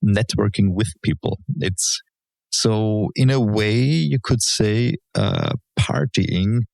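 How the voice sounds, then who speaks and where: Deep gravelly man, halting. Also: a little mellow, no echo, low-quality recording. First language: German. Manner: hesitant, many fillers and restarts, measured explanations. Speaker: guest, legal professional. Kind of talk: relaxed conversational interview.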